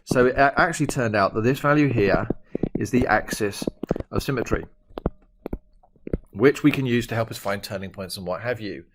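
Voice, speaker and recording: A man's voice: medium pitch, moderate pace, long pauses, background noise. Chatty, emphatic male maths teacher. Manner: chatty, jokey, emphatic explaining. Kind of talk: recorded maths lesson.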